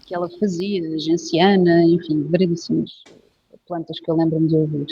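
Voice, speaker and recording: A husky woman with a European Portuguese accent talks in a reflective, halting way, often pausing on filler words. Her voice comes through a dull, lo-fi recording with a dry, close sound and no room echo. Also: lowish voice, flat delivery, dark tone. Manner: reflective, hesitant, with frequent filler phrases. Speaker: guest herbalist. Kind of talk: casual interview conversation.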